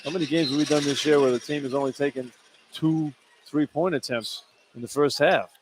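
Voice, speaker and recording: A man's voice: a little high, steady tone, brisk. A man working as a radio color commentator, talking through basketball tactics in an informal, colloquial way from courtside. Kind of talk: conversational basketball analysis. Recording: live arena broadcast, clear background noise. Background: arena ambience and noise.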